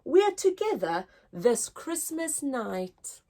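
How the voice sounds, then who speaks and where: Casting: woman as small excitable boy-elf for a storybook, very high, animated, cheerful, light music underneath. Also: slow, exaggerated voice. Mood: cheerful, reassuring. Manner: exclamatory, cheerful, teaching. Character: small chirpy excitable male Christmas elf. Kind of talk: children's storybook character voice.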